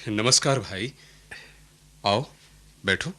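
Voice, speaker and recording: An actor playing a wealthy middle-aged merchant in a radio play, reserved, measured and curt with authority. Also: male voice, fairly low pitch, pushed and bright, exaggerated voice. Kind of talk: Hindi radio play acting.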